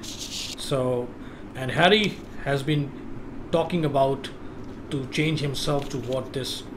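A man's voice: medium pitch, slow, noisy background. A man giving a casual gameplay walkthrough, explaining things step by step and repeating himself. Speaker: gaming walkthrough host.

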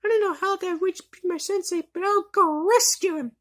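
A woman's voice: extremely high pitch, a little fast, a bit bright. A woman voicing an eager, cheerful young girl novice witch in an amateur anime fandub, earnest and full of exclamation. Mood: determined.

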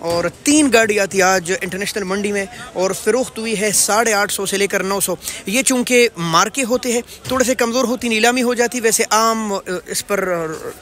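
A man's voice: sits high, moderate pace, a bit bright. A man in his thirties with a Pakistani accent, presenting an informational market report in an earnest, projected way. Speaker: host of market rate updates.

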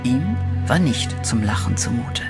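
A man narrates a horror audio play in a dramatic, scripted manner. His voice sits at a middle pitch, with music clearly playing behind it. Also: animated, measured pace.

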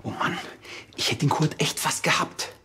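A man's voice: normal speed, over music, close to an everyday voice. Hesitant, sheepish policeman, played by an actor. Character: sheepish, hapless male junior police officer. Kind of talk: naturalistic TV drama acting.

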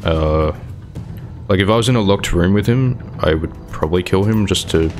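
A man's voice: sits low, moderate pace, a little mellow, faint music behind, natural voice. A man improvising as a wary, questioning survivor in a zombie game roleplay. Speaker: player in a roleplay skit.

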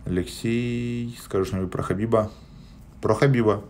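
Give me a plain-spoken man in his forties answering viewers on a phone stream from a small room, voice on the low side. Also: fairly even intonation, normal speed, noisy background. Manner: plain-spoken and blunt, with frequent hesitation sounds. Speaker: athlete answering viewer questions on a live stream. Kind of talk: casual live-stream chat. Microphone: phone held at arm's length.

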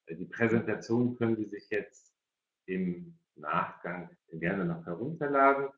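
A middle-aged or older man moderating an online discussion, speaking haltingly and often repeating words. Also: low voice. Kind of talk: hosting an online parents' evening discussion. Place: indoor room, video call. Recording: roomy, dull video-call audio.

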